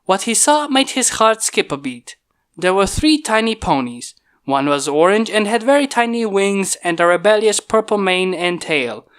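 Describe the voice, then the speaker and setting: A hobbyist reader narrating a fan-fiction chapter in a steady storytelling voice, recorded on a failing home microphone that pops. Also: medium pitch, moderate pace, clean tone. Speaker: fanfiction reader. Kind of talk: amateur audiobook narration.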